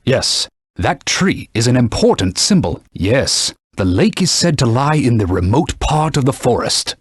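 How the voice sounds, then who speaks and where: A man's voice: mid-range voice, breathy edge, no room sound, studio quality. A man voicing a haughty talking sword, a stern knightly mentor who speaks in pompous, formal phrases in a video game. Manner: pompous, formal archaic phrasing, scolding and instructive. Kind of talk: theatrical video game voice acting.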